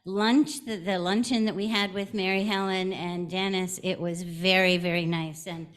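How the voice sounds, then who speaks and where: A woman from the congregation making an informal announcement at a church service in a halting, conversational way. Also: on the low side, fairly even intonation.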